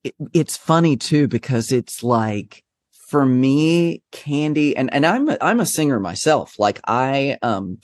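A man from Texas speaks at a middle pitch in a dry, close recording. He talks as a guest about his drag performing, rambling and self-deprecating with plenty of animated asides. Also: unhurried, dry recording. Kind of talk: conversational interview.

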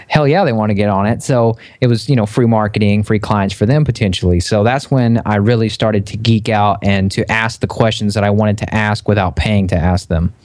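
A man in his twenties, a podcast guest, talking in a relaxed, conversational way. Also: lowish voice, dry recording, clean studio sound. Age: 20s. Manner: relaxed, conversational, frequent fillers. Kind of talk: casual interview chat.

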